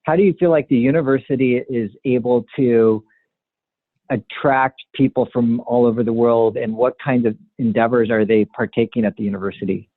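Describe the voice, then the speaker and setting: A man's voice: medium pitch, fairly even intonation, normal speed, no echo. A man hosting an interview in a conversational style. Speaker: interviewer, host. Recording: narrow phone-like line, dry.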